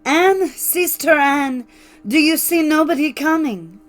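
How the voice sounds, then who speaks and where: A woman's voice: high voice, slow pace, soft background music, voice pushed up. A woman voicing a desperate young wife in a fairy tale, imploring and dramatic. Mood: desperate pleading. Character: desperate, pleading young wife in mortal fear.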